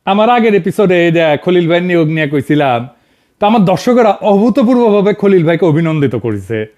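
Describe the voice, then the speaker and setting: High, animated Bangladeshi man commentating. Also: fairly quick. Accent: northern Bangladeshi. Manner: colloquial, sarcastic, emphatic, addresses people directly. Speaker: video commentator and host. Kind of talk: informal political commentary to camera.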